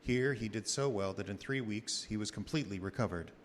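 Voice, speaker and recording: A man as low-voiced formal doctor, echoing hall. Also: natural voice. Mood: formal, grave. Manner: formal, deliberate. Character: earnest, formal gentleman doctor narrating the tale. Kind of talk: scripted radio drama narration. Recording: reverberant theater with faint background noise.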